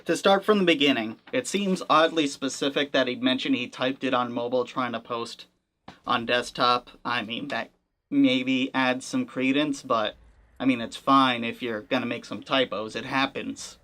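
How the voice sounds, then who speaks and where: A man hosts a gaming commentary channel, speaking at an ordinary middle pitch. He talks in a loose, conversational way, wry and a little hedging as he works through his thoughts. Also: steady tone, moderate pace, bright, forceful tone. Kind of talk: casual commentary.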